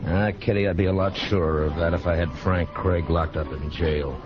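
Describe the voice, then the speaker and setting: A man's voice: sits low, fairly even intonation, moderate pace, close to an everyday voice. An actor playing a middle-aged, world-weary lawman in an old radio Western, speaking in a terse, dry, authoritative way. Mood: dry, wry. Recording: dull vintage radio recording with music.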